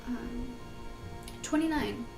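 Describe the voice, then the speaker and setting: High-voiced young American woman vlogging. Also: slow, vocal fry, rough recording. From California, United States. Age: young adult. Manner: informal, self-deprecating, rambling. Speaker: video blogger answering tag questions. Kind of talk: casual chatty question-and-answer vlog. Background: background music.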